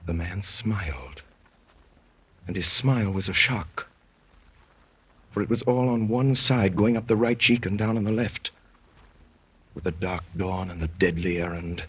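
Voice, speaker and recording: An actor playing a wry detective-poet, narrating his adventure in a measured, literary 1930s radio drama style. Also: sits low, slightly rough, heavily acted. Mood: hushed, ominous. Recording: muffled vintage 1930s radio recording.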